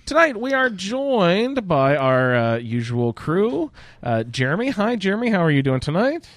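A man hosting a casual gaming roundtable podcast, speaking in halting phrases with searching pauses. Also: sits high, sing-song, no echo. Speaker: podcast host. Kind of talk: casual roundtable chat about a video game.

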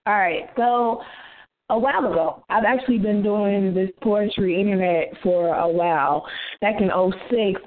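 A soft-voiced woman, a poet being interviewed, chatting casually and telling stories with lots of quoted dialogue. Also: on the low side. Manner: chatty, quotes herself and others. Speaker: interview guest, spoken word poet. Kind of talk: casual interview chat, storytelling. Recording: tinny phone-line internet radio sound.

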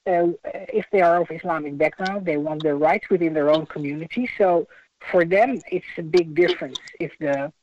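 A speaker in a radio interview, talking in a measured, halting way with many restarts. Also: medium pitch, darkish tone, a little hiss or hum. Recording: muffled lo-fi radio audio.

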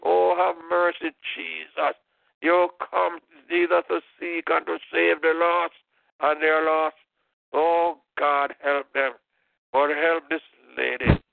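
A man's voice: a little high, little pitch movement, takes it slowly, creaky, dry recording. A man leading a fervent intercessory prayer, impassioned, repeating "oh God" and "in the name of Jesus". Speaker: prayer leader. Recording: tinny phone-line prayer call.

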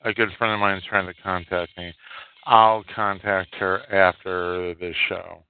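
A tinny, phone-like recording carries a man with a slightly low, smooth and clear voice. He hosts solo, delivering unscripted commentary haltingly and repeating phrases for emphasis. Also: little pitch movement. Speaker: podcast host. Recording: muffled, tinny phone-like sound.

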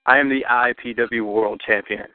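A man's voice: medium pitch, steady tone, moderate pace, dry recording. A man cutting a boastful, defiant wrestling promo as a challenger, talking over his rivals. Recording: tinny phone line.

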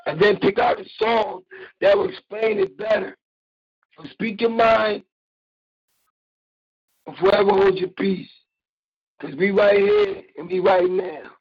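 A hoarse, raspy-voiced man calling in to a radio talk show, preaching with animated, swooping delivery. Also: high-pitched, measured pace, long pauses. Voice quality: raspy, gravelly. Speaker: caller and fellow radio host. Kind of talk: call-in radio chat. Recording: dull lo-fi call-in line.

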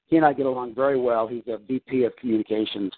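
Guy at home on the phone, kinda tinny, keeps restarting his sentences, mid pitch. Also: steady tone, quick pace. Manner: rambling with many restarts and fillers. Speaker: client on a coaching call. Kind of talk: casual phone conversation.

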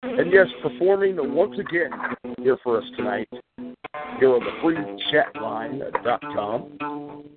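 A man's voice: mid-range voice, measured pace. A man hosting a live call-in chat line show, chatty and playfully announcing acts while scolding rowdy callers. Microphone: phone line. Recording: tinny phone line with loud music behind.

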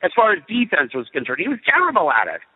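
A man's voice: high-pitched, wildly swooping pitch, quick pace, breathy edge. Blunt ranting man on a phone line. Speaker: radio host guest. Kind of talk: opinionated sports talk. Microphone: phone call. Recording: tinny phone line with long reverb.

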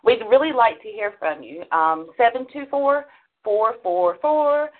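A woman's voice: fairly high pitch, lively intonation, measured pace, phone-quality sound. A middle-aged woman co-hosting a call-in show, chatting casually to listeners in a folksy, emphatic way over band-limited call audio.

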